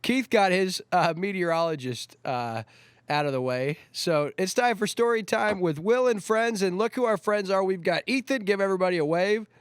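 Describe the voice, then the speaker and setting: A man's voice: high-pitched, animated, normal speed, clean tone, no echo, studio quality. A man hosting a show with casual, joking and teasing banter. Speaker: TV show host.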